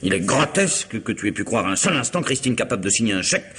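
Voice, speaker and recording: An actor playing a rational, authoritative lawyer friend, measured, articulate and firm, in a French radio mystery drama. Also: male voice, fairly low pitch, very animated, pushed and bright, slightly rough, heavily acted. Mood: firm, reproachful.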